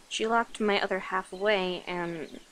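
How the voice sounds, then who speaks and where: Slow-talking, coy vampire woman acted by a woman. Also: medium pitch, fairly even intonation, a bit bright, slight background noise, rough recording. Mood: coy, nostalgic. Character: centuries-old female vampire, coy, confiding to a human lover. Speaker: ASMR roleplay performer. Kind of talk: ASMR roleplay, addressed to the listener.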